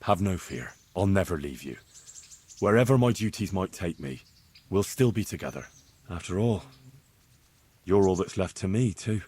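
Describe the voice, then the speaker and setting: An actor playing an easygoing, loyal young blacksmith's son in a medieval video game, casual, wry and sincere. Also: male voice, low-pitched, animated, normal speed, pauses often, dark tone, husky. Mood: sincere, reassuring. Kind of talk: naturalistic video game dialogue acting.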